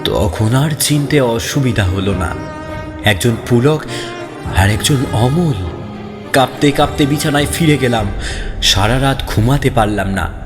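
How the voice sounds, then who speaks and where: A man's voice: medium pitch, lively intonation, a little slow, long pauses. A man reading a scripted ghost story as a narrator, in a dramatic, suspenseful and theatrical manner. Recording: long reverb, background music. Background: music.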